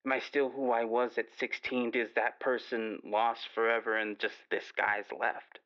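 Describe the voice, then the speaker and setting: A man's voice: mid-range voice, level tone, normal speed, muffled recording, natural voice. A man playing a guilt-ridden, wistful baker calling a radio show, hesitant and confessional, in an improvised drama. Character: wistful, guilt-ridden baker who once dreamed of art.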